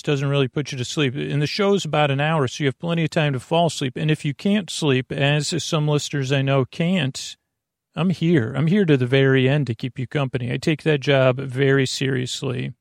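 A man with a gravelly voice hosting a sleep podcast, rambling through a bedtime monologue, over-explaining and trailing off mid-thought. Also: on the high side, no room sound, studio quality. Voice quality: gravelly, creaky. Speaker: podcast host.

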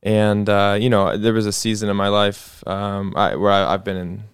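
A low-voiced man with a gravelly yet smooth voice speaks as a guest musician in a casual podcast chat. He muses thoughtfully, pausing on fillers and restarting sentences. The sound is close and dry. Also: level tone, no room sound.

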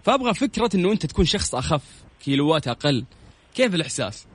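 A man's voice: on the high side, very animated, rapid-fire, husky. A man with a Saudi accent hosting a radio show in a casual, chatty style, talking straight to listeners and dropping in English words. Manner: informal, addresses listeners directly, drops in English words.

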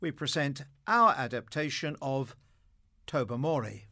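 A man's voice: mid-range voice, lively intonation, measured pace, pushed and bright. A man presenting a scripted audio magazine, reading trivia and introductions. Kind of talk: scripted quiz and narration.

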